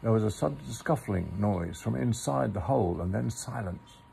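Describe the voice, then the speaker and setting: A man reading a children's story aloud with gentle, deliberate, theatrical phrasing. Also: fairly low pitch, expressive, gravelly, a little hiss or hum. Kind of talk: expressive storybook narration.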